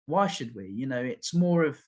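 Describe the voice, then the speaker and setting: Rambling podcast host. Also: male voice, mid-range voice, expressive, moderate pace. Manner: rambling, repeats words and restarts, jokey asides. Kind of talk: casual conversational chat.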